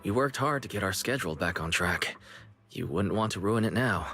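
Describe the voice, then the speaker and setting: A man playing a thoughtful, considerate young man in a video game, with a measured, understated delivery. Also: lowish voice, lively intonation, a bit bright, faint music behind. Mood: gentle, concerned.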